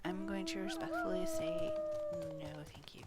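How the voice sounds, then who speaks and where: Female game streamer, casual. Very animated pitch, slow delivery, short loose remarks while playing.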